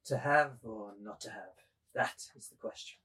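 Man playing a husky, musing young drunken poet. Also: on the low side, moderate pace, bright, forceful tone. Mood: mock-courteous. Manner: musing, fragmented, mock-courteous. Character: drunk, erudite young male poet, absent-minded, musing. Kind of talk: dramatized literary reading.

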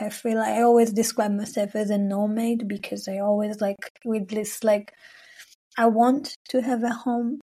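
A young adult woman from Israel-Palestine, a singer speaking English as an in a casual interview, hunting for words with many fillers and laughs. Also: mid-range voice. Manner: warm, searching for words, frequent "like" and "you know", laughs often. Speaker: singer, guest.

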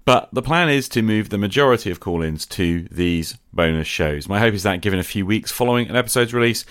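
A man's voice: on the low side, expressive, brisk, a bit bright, dry recording, studio quality. A man speaking to listeners as the host of a podcast.

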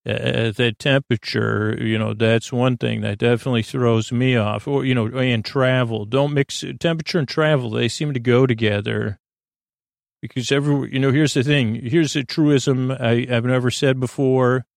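Creaky-voiced man rambling through a bedtime podcast. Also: mid-range voice, no echo, studio quality. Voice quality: creaky, slightly rough-edged voice. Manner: meandering, halting, gently soothing. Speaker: podcast host.

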